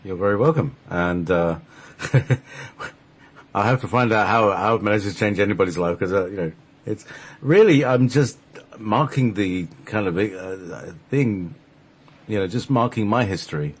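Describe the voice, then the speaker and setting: Guy hosting a talk show, deep raspy voice, rambles on thoughtfully, real casual. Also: moderate pace.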